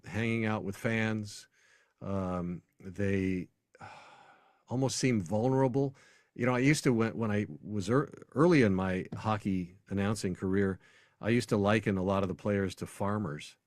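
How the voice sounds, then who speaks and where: A man's voice: fairly low pitch, steady tone, unhurried, dry recording, clean studio sound. An American man speaking as an announcer guest in a casual sports interview, telling anecdotes in a conversational way.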